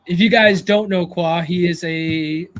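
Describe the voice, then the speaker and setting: Male host, high voice, easygoing and chatty, faint background noise. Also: fairly even intonation, a little slow, fairly bright tone. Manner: easygoing, chatty, prompting the guest. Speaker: show host. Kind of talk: casual live-show chat.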